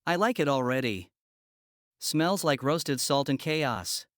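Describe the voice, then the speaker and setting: Man voicing a slow, savoring spice dragon. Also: medium pitch, lively intonation, a bit bright, no room sound, clean studio sound. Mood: intrigued relish. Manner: savoring, roguish, terse. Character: bold, relishing male spice dragon cook. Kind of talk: audio drama acting.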